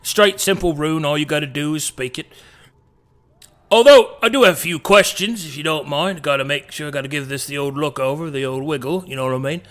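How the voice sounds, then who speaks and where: A man plays a gruff male demon poisoner who rambles on in a folksy, old-fashioned way. His voice sits a little high and sounds bright and sharp. He chats like a cheerful tradesman. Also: a little fast, lightly acted. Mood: reassuring. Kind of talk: tabletop roleplay in character.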